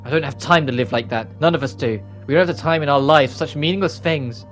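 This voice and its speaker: A man's voice: fairly high pitch, quick pace, lightly acted. A guarded young woman from a fantasy game, read out by a man, carrying a heavy burden. The delivery is terse and stern, over faint music.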